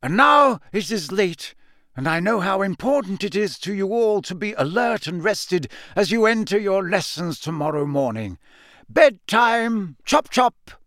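Man as elderly wizard headmaster, raised high pitch, lively swoops, formal, kindly, authoritative, storybook. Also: no echo, clean studio sound, heavily acted. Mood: courteous, brisk. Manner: formal, measured public address.

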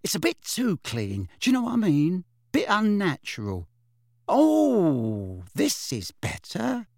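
A man's voice: high-pitched, wildly swooping pitch, takes it slowly, vocal fry, no room sound, clean studio sound, voice pushed up, heavily acted. A man voicing a cheeky, clumsy young witch in an audiobook, with a chatty, blunt, colloquial manner. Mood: bright, chatty. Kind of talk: audiobook character voice.

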